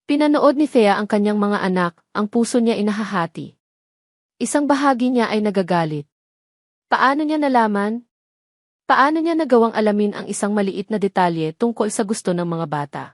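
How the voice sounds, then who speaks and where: A woman with a Filipino accent reading a romance story as a measured, dramatic narrator. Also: medium pitch, lively intonation, no room sound, studio quality.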